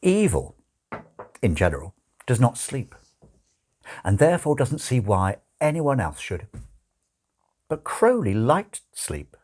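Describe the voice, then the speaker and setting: A man narrating a comic novel as an audiobook, with wry, expressive storytelling. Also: mid-range voice, slow, pauses often. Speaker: audiobook reader.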